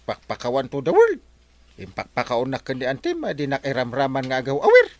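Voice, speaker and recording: A brash, mocking husband. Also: male voice, mid-range voice, wildly swooping pitch, a little fast. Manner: loud, swooping exclamations. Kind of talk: radio comedy drama.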